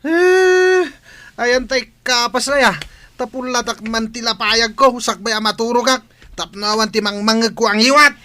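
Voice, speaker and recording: A male actor as a cranky, drunken husband in an audio drama. The voice sits extremely high for a man and is sharp and forceful. He scolds and argues defensively. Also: normal speed. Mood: quarrelsome, irritated. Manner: scolding, argumentative. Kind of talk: Philippine audio drama acting.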